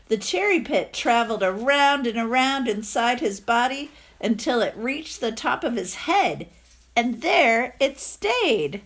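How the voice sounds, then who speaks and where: A woman reading a storybook aloud to children, addressing her young listeners informally. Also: on the high side.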